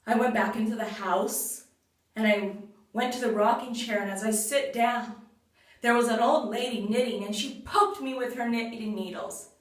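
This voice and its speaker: Lady doing a panicky robber in a kids folktale, talking fast and loud, big roomy echo. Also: a little high, close to an everyday voice. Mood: frightened, agitated. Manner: hurried, agitated, loud. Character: frightened male robber recounting his ordeal. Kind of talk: storybook character monologue. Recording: roomy echo, far from mic.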